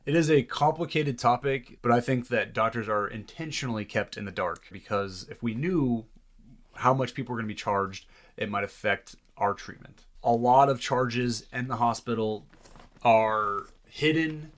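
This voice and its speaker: A man's voice: medium pitch, normal speed. A man speaking as a doctor in an earnest, reflective interview conversation. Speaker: doctor, podcast guest.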